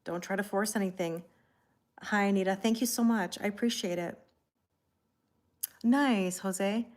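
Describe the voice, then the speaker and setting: A woman's voice: mid-range voice, unhurried. A Canadian woman, probably in her thirties, hosting a tarot live stream and chatting casually with viewers, greeting them by name and laughing often.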